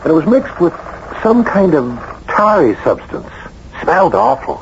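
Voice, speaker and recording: Plain-talking american deputy, old radio show, bouncy delivery, muffled with light hiss. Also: male voice, on the high side, big pitch swings, normal speed, gravelly. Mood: intrigued, matter-of-fact. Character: brisk, matter-of-fact sheriff's deputy reporting findings. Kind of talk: radio mystery drama acting. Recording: dull, noisy old radio broadcast.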